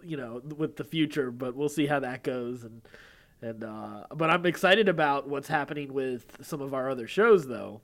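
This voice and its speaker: A man's voice: medium pitch, normal speed, fairly bright tone. A man co-hosting a podcast in casual conversation, talking in a wordy, rambling way as he thinks aloud. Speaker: podcast co-host.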